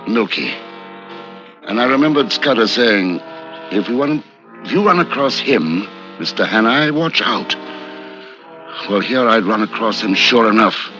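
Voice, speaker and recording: A dull vintage radio recording, backed by music, carries a hunted innocent hero. His fairly low voice is courteous, measured and tense. The delivery is dramatic 1950s radio acting. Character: hunted innocent man on the run, polite, tense narrator-hero. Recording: muffled old radio recording, loud music.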